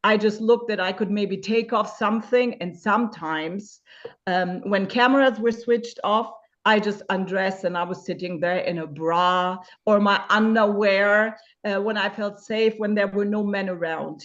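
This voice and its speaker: A woman's voice: mid-range voice, unhurried, lo-fi sound. A woman in her fifties from Germany, a guest in a webinar interview, speaking candidly and searching for words with frequent fillers. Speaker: guest sharing her experience.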